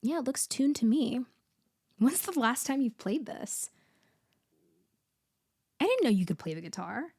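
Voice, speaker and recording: A woman playing an upbeat, teasing, affectionate young best friend in a casual, playful and expressive ASMR roleplay, speaking one side of a conversation. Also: sits high, a little slow, long pauses, husky, no echo, exaggerated voice. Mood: teasing, surprised.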